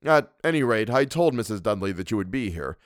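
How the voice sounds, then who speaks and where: A man reading a story and voicing a mild, earnest middle-aged doctor who speaks gently and hesitantly. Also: fairly low pitch, very animated, fairly quick, no echo, clean studio sound. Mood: placating.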